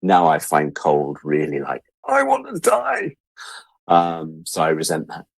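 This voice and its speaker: A man's voice: very deep voice, wildly swooping pitch, a little slow, gravelly, no room sound. A British man of about sixty chatting casually and reflectively as an interview guest, candid and wry, repeating words for emphasis. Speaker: interview guest, freelance media producer.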